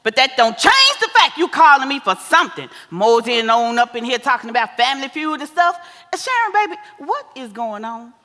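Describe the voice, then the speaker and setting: An actress plays an older American mama in a stage play, folksy and blunt but doting. Her voice is fairly high and bright, and she draws out her phrases to make a point. It rings in a reverberant theater hall. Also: sing-song, full character voice. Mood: chiding, playful. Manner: folksy, drawn-out emphatic phrasing.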